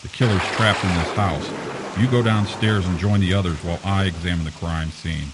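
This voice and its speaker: A man playing a gruff, low-voiced police commissioner. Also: fairly quick, very noisy, close to an everyday voice. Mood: businesslike, grumpy. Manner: brusque, sarcastic, impatient. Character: gruff, irritable male police commissioner, blustering and dismissive. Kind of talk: audio mystery drama acting.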